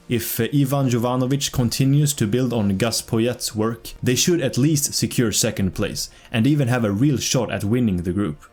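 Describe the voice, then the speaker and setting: A man reading scripted football commentary in a measured way, slipping in dry, wry asides. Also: medium pitch, a little mellow. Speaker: football predictions channel host. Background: faint background music.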